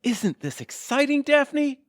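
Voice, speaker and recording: A man's voice: very high voice, very animated, slow pace, no echo, clean studio sound, heavily acted. A man playing an enthusiastic, coaxing middle-aged husband in an audio comedy, with an upbeat manner. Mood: excited. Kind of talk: audio theatre comedy acting.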